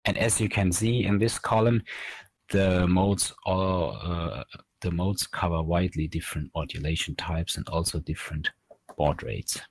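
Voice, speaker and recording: A man in his thirties presenting a technical slide lecture as a researcher, explaining in a measured, halting way from a small room. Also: low-pitched, fairly even intonation, husky, no room sound. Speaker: researcher presenting at an online academy.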